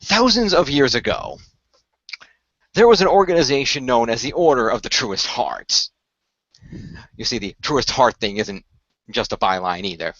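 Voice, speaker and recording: A man playing a courteous, earnest keeper of a magical secret in a radio play, speaking in a formal, measured storyteller's way. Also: mid-range voice, lively intonation, fairly bright tone, no room sound, highs cut off. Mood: solemn storytelling.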